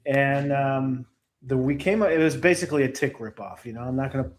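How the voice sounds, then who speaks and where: A man's voice: medium pitch, a little fast, a little hiss or hum. Laid-back man rambling through stories. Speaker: guest, comic book creator. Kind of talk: casual storytelling.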